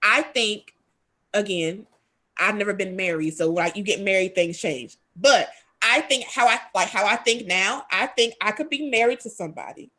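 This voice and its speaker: A woman's voice: mid-range voice, moderate pace, bright, forceful tone. A woman hosting a radio talk show, chatting candidly and rambling with fillers. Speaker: host. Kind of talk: casual talk show chat.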